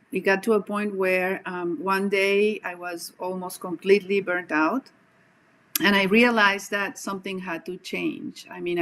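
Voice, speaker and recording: Colombian-accented woman, reflective and earnest. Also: fairly low pitch. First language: Spanish. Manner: earnest, reflective, many "um" pauses. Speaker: guest, executive coach. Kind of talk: casual video-call interview. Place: home room with bookshelves. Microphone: video call.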